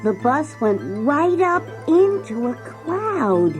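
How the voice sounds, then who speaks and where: A woman narrating a scripted children's story in an expressive storytelling manner. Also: sits high, slow. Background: music.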